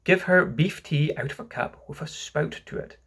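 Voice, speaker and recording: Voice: medium pitch, normal speed, fairly bright tone, husky. A reader doing solemn little boy playing doctor. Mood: solemn, self-important. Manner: solemn, pompous, tutting. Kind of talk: storybook reading with character voices.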